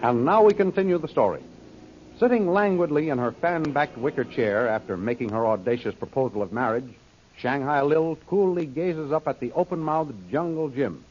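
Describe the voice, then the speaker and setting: A man's voice: medium pitch, lively intonation, moderate pace. A man narrating an old-time radio serial as its announcer, in a formal, dramatic, scripted style. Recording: dull old radio recording. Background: music.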